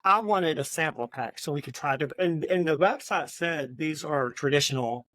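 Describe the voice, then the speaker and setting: A man co-hosting a podcast in casual book chat, speaking haltingly and often repeating words as he goes. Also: fairly high pitch, fairly bright tone, no room sound. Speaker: podcast co-host.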